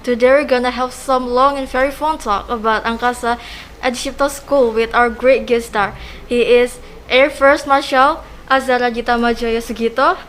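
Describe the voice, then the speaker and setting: A teenage girl co-hosts a school podcast, introducing the guest in English in a formal, upbeat way. Her voice sits a little high. Faint noise lies under it. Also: fairly bright tone. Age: teens. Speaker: student podcast host.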